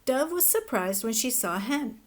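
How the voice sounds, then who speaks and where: A woman teacher reading a children's fable aloud in a gentle, expressive storybook manner. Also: a little high, unhurried. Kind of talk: children's read-aloud narration.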